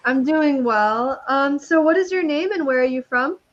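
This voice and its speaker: A woman's voice: high-pitched, moderate pace, lo-fi sound, a little hiss or hum. A young woman from California with a Californian American accent, tutoring English over a video call in a chatty, upbeat way with casual slang. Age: young adult.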